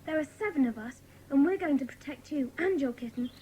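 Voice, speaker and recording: Woman acting caring bossy british girl, kids audiobook, high swingy voice, faint noise behind. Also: animated, brisk, dark tone, poor audio. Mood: indignant, protective. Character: caring, outspoken schoolgirls of secret club. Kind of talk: dramatised children's audiobook acting.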